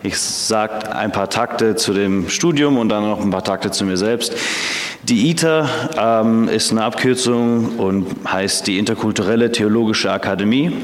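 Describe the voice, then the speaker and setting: A man's voice: on the low side, measured pace. A man in his twenties, raised in Canada with English as his first language, preaching a sermon in German with many hesitations. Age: 20s. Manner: hesitant, frequent filler sounds. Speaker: theology student preaching. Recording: echoey hall.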